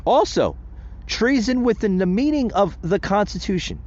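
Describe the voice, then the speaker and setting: A man's voice: high-pitched, big pitch swings, moderate pace, a little mellow, lo-fi sound. A man hosting a podcast, giving impassioned solo political commentary and often calling out to his listeners as folks. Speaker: podcast host.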